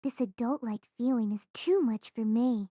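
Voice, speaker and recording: Woman as a high-pitched, pushy teenage schoolgirl. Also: moderate pace, warm tone, no echo, studio quality, close to an everyday voice. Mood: scheming. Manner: pushy, teasing. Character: bold, meddling teenage schoolgirl egging on her friend.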